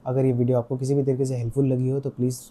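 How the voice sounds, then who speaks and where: A man's voice: mid-range voice, completely flat delivery, racing, warm tone. A man with an Indian accent giving a casual product review, explaining step by step and mixing Hindi with English. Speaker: motorcycle gear reviewer.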